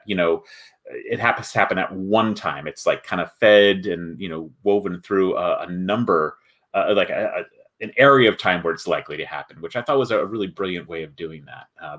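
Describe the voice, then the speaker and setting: A man hosting a casual livestream, chatting with viewers in a halting way as he thinks aloud. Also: low voice, fairly bright tone. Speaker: host of a board game company livestream.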